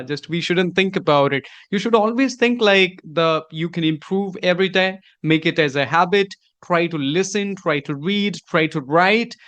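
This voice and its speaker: Male host, high voice, earnest coaching tone, casual chat. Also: a bit bright. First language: Hindi. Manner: earnest, encouraging, rambling with fillers. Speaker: host and English teacher. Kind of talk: casual conversation.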